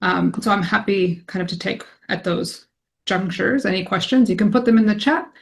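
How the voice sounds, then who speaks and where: A woman's voice: medium pitch, fairly even intonation, normal speed, vocal fry. A woman in her forties with a Canadian accent, an academic statistician giving a conversational presentation full of ums. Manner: conversational, frequent ums, self-deprecating. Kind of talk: informal presentation to colleagues.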